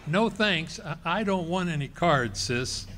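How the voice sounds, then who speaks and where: A man's voice: a little high, animated, a little slow, background noise. A man playing a boastful, bumbling middle-aged husband in a live staging of a 1940s radio comedy, blustering and stammering. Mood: wary. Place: ballroom stage performance.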